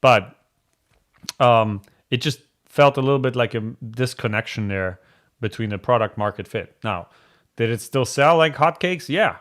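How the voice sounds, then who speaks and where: A man's voice: medium pitch, expressive, unhurried, a bit bright. A man from Germany hosting a casual live question-and-answer chat, speaking in a candid, blunt and rambling way. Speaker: host answering viewer questions.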